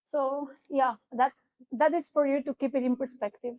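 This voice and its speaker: A high-pitched middle-aged woman with a Romanian accent speaks at a conference, loose and conversational, often pausing on fillers. The sound is dry and close, with little room echo. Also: fairly even intonation, mellow. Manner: conversational, with frequent fillers and restarts. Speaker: keynote speaker. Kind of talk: conference talk. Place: conference venue.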